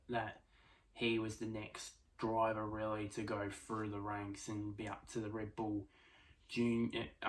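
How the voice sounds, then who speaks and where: A man giving casual solo motorsport predictions, speaking quietly late at night, with many fillers and restarts. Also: lowish voice. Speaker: F1 prediction vlogger.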